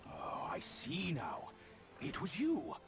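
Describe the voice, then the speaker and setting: Hot-headed game pig-man sidekick: high voice, blunt, impatient, wildly animated intonation. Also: slow, gravelly, full character voice. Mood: realizing. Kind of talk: dramatic video game dialogue. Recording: music behind the voice. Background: game score music.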